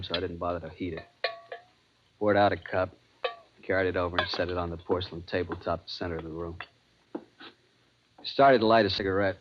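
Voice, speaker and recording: A man's voice: low voice, slight background noise. A man narrating a suspense story in first person in old radio drama style, tense and grave. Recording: dull old radio recording with music.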